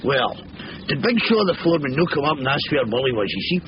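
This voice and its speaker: An actor playing a chatty, nervy Scottish working-class labourer who rambles colloquially in a 1970s British radio comedy thriller. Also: male voice, medium pitch, big pitch swings, quick pace, natural voice. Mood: rambling, aggrieved. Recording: muffled, noisy old radio broadcast.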